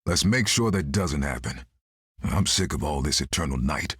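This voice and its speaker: An actor playing a gruff, wry half-vampire swordsman in a video game, with a gravelly, terse delivery. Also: lively intonation, moderate pace, a bit bright, dry recording, studio quality, heavily acted. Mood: cool, wry. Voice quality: gravelly, very deep.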